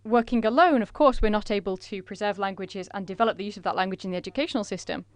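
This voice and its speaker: A woman renders a conference presentation in a measured way, pausing often on fillers. Her voice is smooth and clear, recorded close and dry. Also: mid-range voice, no room sound. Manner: measured, with frequent filler pauses.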